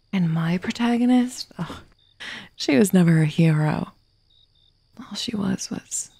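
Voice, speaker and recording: A woman playing an obsessive, vengeful murderous writer in an ASMR roleplay, sardonic and menacing, slipping into breathy whispers. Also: mid-range voice, mellow, no echo. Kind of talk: ASMR roleplay, dramatic monologue.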